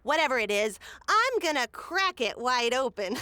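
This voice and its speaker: A woman's voice: high-pitched, sing-song, normal speed, bright, forceful tone, exaggerated voice. A woman playing a scheming, self-serving mayor in a comedic audio drama, theatrical and dismissive. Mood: gleefully scheming.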